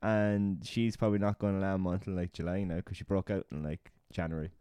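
A man's voice: low-pitched, level tone, brisk, warm tone, clear, resonant tone, dry recording. Irish man in casual podcast chat, dry sound. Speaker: podcast host.

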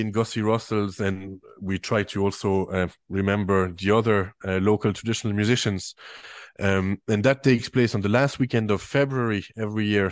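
Swiss-French man, low voice, dry sound. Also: no room sound. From French-speaking Switzerland. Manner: hesitant, many fillers and restarts. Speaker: musician and guest. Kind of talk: relaxed interview chat.

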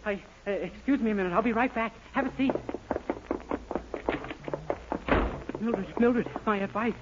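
A man's voice: high-pitched, a little fast, natural voice. An actor playing a naive, eager young man who spins wild tales in a 1940s radio sitcom. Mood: flustered. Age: young adult. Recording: muffled, hissy old 1940s radio recording.

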